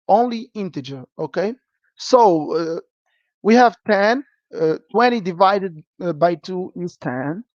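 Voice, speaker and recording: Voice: medium pitch, no echo. Teacher, dull dry recording. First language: Portuguese. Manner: checks in with a frequent "okay?". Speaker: programming teacher. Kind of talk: live-streamed lesson.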